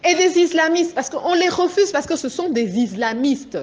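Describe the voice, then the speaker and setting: A woman's voice: extremely high pitch, expressive, normal speed. A woman from Congo with a Congolese French accent, giving an impassioned political address to a group as an activist, urgent and insistent, driving her points home. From Democratic Republic of the Congo.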